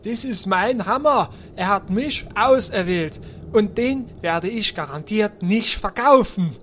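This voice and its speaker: A man's voice: high-pitched, expressive, slow, fairly bright tone, a little hiss or hum, heavily acted. A man acting a naive, stubborn, proud paladin in a comedic fantasy audio drama, earnest and pompous. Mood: proud, defiant.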